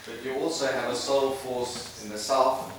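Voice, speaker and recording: A man from Zimbabwe giving a public lecture as guest speaker, lively, jumping between loud bursts and quiet asides. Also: medium pitch, moderate pace. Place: large lecture hall. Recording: echoey lecture hall, mic far off, background noise.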